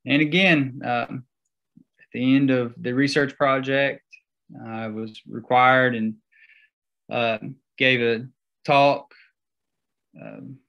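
A young man presenting slides in a seminar talk over a video call, halting and full of fillers. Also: medium pitch, fairly even intonation, creaky, a bit bright, highs cut off. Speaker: guest speaker.

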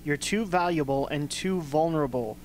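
A man's voice: a little high, moderate pace, natural voice. Man acting blunt rebel mentor, faint hum. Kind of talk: amateur radio play acting. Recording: faint hum and background noise.

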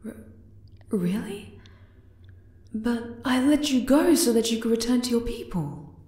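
A woman voicing a regal, possessive yet tender spider queen in an ASMR roleplay, soft and deliberate in manner. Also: fairly high pitch, sing-song. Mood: relieved. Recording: roomy, echoing cave-like reverb.

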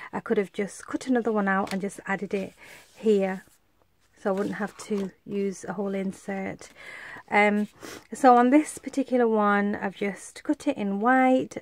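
A chatty woman from the UK shows off her handmade cards with a stuffy, congested voice. She rambles casually with many fillers, speaking at a middling pitch off camera. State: congested, coming down with a cold. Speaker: craft vlogger. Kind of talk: casual show-and-tell.

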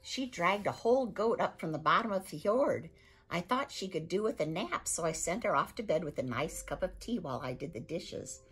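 A woman voicing a kind, polite young Viking boy who speaks softly. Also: on the low side, lively intonation, moderate pace, breathy edge, faint music behind, heavily acted. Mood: gentle. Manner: gentle, polite and soft-spoken.

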